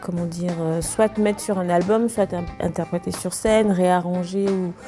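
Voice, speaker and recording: A young woman from Togo, a singer, answering interview questions calmly and thoughtfully, with many hesitations. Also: fairly low pitch, normal speed, soft background music.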